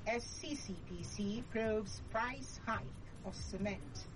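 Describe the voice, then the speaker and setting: A woman with a Nigerian accent reads a scripted radio news bulletin in a formal, measured manner. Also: fairly high pitch. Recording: clearly noisy radio broadcast.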